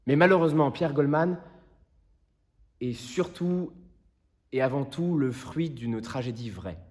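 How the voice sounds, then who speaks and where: A man playing an earnest, impassioned defence lawyer, delivering a solemn theatrical plea to a jury in deliberate rhetorical phrases. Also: mid-range voice, darkish tone, close to an everyday voice. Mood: measured, persuasive. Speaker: actor performing a monologue. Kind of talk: theatrical courtroom closing speech.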